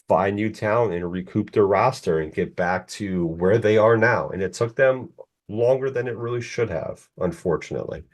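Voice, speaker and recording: Guy in his 40s, deep voice, rambling casual podcast talk, kinda opinionated. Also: darkish tone. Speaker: podcast co-host. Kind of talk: casual chat.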